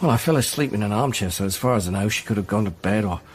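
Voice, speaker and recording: An actor playing a despairing, self-loathing jailed husband in a radio drama, speaking in a hesitant, downbeat way. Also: male voice, lowish voice, quick pace, noisy background, lightly acted. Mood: weary, resigned. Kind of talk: naturalistic radio drama acting.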